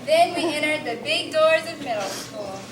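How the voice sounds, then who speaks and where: A woman's voice: high-pitched, animated, moderate pace. A young woman, reciting memories in a readers theatre performance. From Arkansas, United States. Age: late teens, high school senior. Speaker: graduating student performer. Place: large indoor hall, audience behind. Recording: distant echoey room, hiss, crowd noise.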